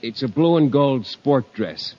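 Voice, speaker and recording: An actor playing a shy, mild-mannered, easily flustered schoolteacher, hesitant and polite, in 1950s radio comedy. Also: male voice, mid-range voice, expressive, a little slow, warm tone, lightly acted. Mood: bashful. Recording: muffled, noisy 1950s radio recording.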